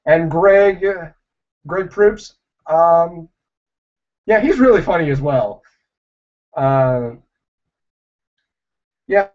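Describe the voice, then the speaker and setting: Young guy rambling on a livestream, slow and halting, lots of ums, muffled lo-fi mic. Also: on the high side, a little mellow. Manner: rambling, halting, with many fillers and restarts. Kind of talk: casual livestream chat answering viewers. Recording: dull lo-fi livestream audio.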